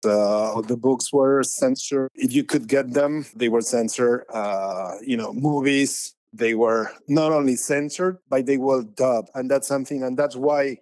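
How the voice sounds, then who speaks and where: A man's voice: mid-range voice, steady tone, unhurried, few pauses, a little mellow, dry recording. A man in his sixties from Spain with a Spanish accent, a painter talking casually as an interview guest, rambling with many fillers and restarts. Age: 60s.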